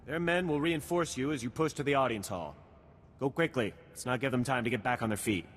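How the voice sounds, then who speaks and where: An actor playing a practical, decisive army lieutenant in a video game, in a businesslike briefing tone. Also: male voice, medium pitch, a little fast, a bit bright, long reverb tail, a little hiss or hum, close to an everyday voice. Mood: decisive, urgent.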